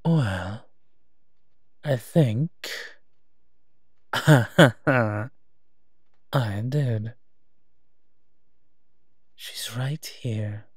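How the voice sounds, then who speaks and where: A man playing a wry, teasing monster who partners a human detective in a roleplay audio drama, speaking with hums and pauses. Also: mid-range voice, breathy edge, no echo, clean studio sound. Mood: smug, amused.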